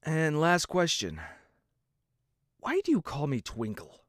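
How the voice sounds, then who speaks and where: An earnest, dutiful young stallion guard, acted out by a man for a fan audio drama. His pitch moves around a lot and he can sound hesitant or exasperated. The sound is dry. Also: wildly swooping pitch, slow pace, fairly bright tone, no echo, heavily acted. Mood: uneasy, earnest.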